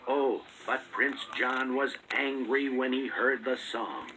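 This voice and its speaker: A man narrating a children's storybook read-along in an expressive, dramatic way, with loud music underneath. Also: mid-range voice, slow, low-quality recording.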